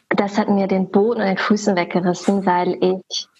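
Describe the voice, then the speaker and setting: A woman's voice: medium pitch, dull audio. A woman in her thirties from Berlin, an interview guest and yoga and meditation teacher, talking through her path thoughtfully with many fillers. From Berlin, Germany. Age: 30s. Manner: thoughtful, frequent fillers, explains in lists. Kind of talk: reflective personal conversation. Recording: echoey room with loud background noise.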